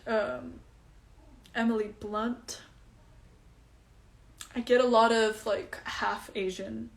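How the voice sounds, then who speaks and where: A young woman, a singer chatting casually at home during a phone livestream, answering comments in a breezy way with lots of fillers. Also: mid-range voice. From Iceland. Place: at home, indoors.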